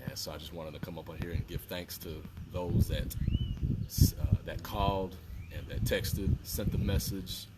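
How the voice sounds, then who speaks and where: A deep-voiced man in his thirties records an earnest fitness pep talk outdoors by a fountain. He sits back from the mic, and a clear hiss of outdoor sound runs under his voice. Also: fairly even intonation, moderate pace, recorded from a distance. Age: 30s. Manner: earnest, repetitive, preachy motivational talk. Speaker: fitness vlogger. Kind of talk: casual motivational talk to camera.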